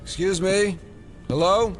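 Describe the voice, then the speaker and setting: An actor playing an impatient, insistent FBI agent, curt and sardonic, in an audio drama. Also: male voice, high-pitched, slow pace, soft background music.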